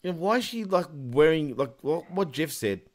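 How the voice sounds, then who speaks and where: A man's voice: a little high, expressive, moderate pace. A man on a casual podcast panel, speaking informally and slangily with short interjections. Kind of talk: casual chat.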